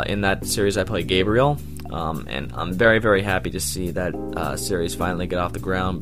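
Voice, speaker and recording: A man giving casual, rambling, unscripted interview answers as an amateur voice actor, informal and keen on the work. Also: deep voice. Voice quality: loud voice. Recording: music playing behind the voice.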